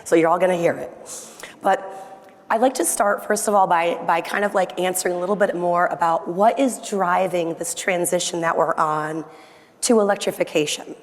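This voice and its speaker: A woman speaking on a conference panel as a state environmental official, friendly and conversational, her voice a little hoarse from losing it. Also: fairly low pitch, fairly even intonation, normal speed. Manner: friendly, conversational presenter with rhetorical questions. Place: conference hall. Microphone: handheld microphone. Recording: long hall reverb.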